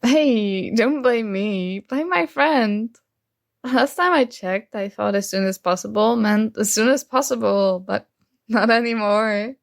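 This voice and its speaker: A woman's voice: a little high, takes it slowly, creaky, no echo, clean studio sound. A woman acting a young roommate who is shy, teasing and smitten with a friend, in a playful, conversational ASMR roleplay.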